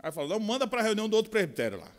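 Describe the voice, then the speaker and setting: A middle-aged man with a Bahian Portuguese accent, preaching a sermon with expressive swings from quiet asides to loud, slow emphasis. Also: high voice. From Brazil. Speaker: pastor. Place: large conference hall. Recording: long hall reverb.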